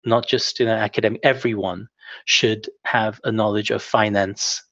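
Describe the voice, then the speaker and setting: A young adult man speaking as an interview guest, relaxed and self-deprecating with lots of fillers. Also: lowish voice, normal speed, gravelly, no echo. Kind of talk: casual interview talk. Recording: dull, dry lo-fi sound.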